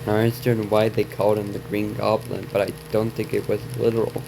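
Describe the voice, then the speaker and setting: Low-voiced man reading haltingly through heavy noise. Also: flat delivery, gravelly. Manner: halting reading, stumbling over words and repeating them. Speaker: reaction video host. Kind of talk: reading on-screen story text aloud with asides.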